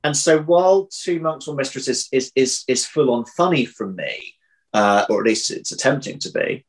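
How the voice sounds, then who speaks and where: A man in his thirties answering interview questions as a writer, speaking thoughtfully and haltingly with a self-deprecating touch, in a room at home. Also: mid-range voice, animated. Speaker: interviewed audio drama writer. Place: domestic room indoors.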